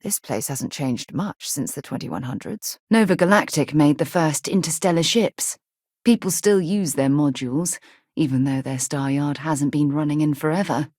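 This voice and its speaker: A woman voicing a brisk, principled space explorer in a video game, articulate and earnest, with a wry edge. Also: low voice, a little mellow, no room sound, clean studio sound. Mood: matter-of-fact. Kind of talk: video game character dialogue.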